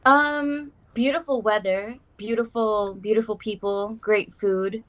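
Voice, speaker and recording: A woman's voice: medium pitch, fairly even intonation, measured pace, a bit bright. A young woman from South Africa, now, chatting as a guest on a casual podcast and using lots of "like" and "um". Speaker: guest artist. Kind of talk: casual interview chat.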